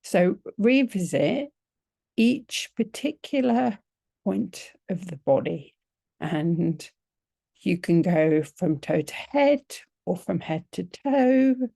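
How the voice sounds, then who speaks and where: A woman teaching yoga nidra, leading a guided relaxation in a gentle, soothing, unhurried manner with long pauses. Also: lowish voice, expressive, vocal fry, no echo.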